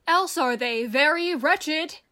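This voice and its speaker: Woman playing a bright high-voiced humble shepherd. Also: slow pace, heavily acted. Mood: courteous. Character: humble kindly old country shepherd, a man. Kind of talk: radio play Shakespeare acting.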